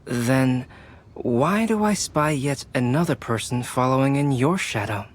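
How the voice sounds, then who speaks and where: Man playing a calm, analytical scholar, medium pitch. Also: unhurried, a little hiss or hum, natural voice. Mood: composed, deductive. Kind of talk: English video game voice acting.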